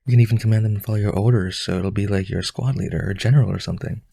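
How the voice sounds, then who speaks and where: Male actor as needy aristocrat vampire for roleplay audio: deep-leaning, heavily raspy, pleading, halting, desperate to please. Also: fairly even intonation, dark tone, no echo. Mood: eager, coaxing. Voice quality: husky, creaky. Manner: hesitant, pleading, eager to please. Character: lonely rich male aristocrat vampire, eager, clingy yandere suitor.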